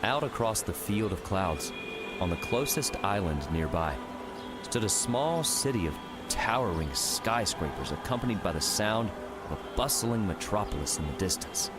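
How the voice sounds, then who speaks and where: A man's voice: on the low side, lively intonation, normal speed. A man reading scripted fiction narration as an audiobook narrator. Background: music.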